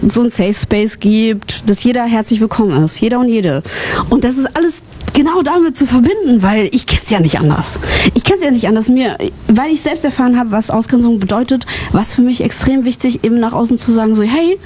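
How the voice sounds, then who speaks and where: A woman's voice: fairly high pitch, expressive, brisk, warm tone, slight background noise. A woman born in Ukraine, a singer and songwriter, talking openly as an interview guest with lots of fillers. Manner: chatty, many fillers, open and personal. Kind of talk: casual personal interview.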